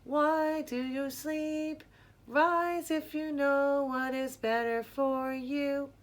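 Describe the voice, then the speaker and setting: A woman voicing a gentle, devout old storybook grandmother who chants verses in a slow, melodic singsong. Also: high voice, fairly even intonation, voice pushed up. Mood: tender, devout.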